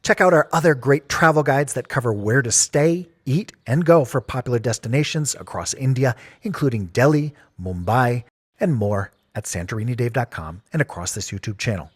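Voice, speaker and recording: Husky man reading a dry travel voice-over. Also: mid-range voice, lively intonation, normal speed, dry recording. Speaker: travel channel narrator. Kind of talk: scripted promotional voice-over outro.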